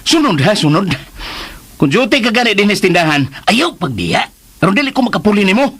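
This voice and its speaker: Volatile muttering man, wildly swinging pitch. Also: very animated, brisk, a bit bright, slightly rough, heavily acted. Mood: angry. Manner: muttering to himself, bursting into curses. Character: hot-tempered scheming man, muttering, grumbling and cursing. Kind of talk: radio drama acting.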